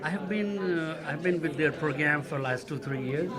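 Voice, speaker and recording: A man's voice: on the high side, normal speed. A man, a community organizer interviewed at an event, speaking hesitantly and repeating words. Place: consulate reception hall. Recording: crowd chatter at an event.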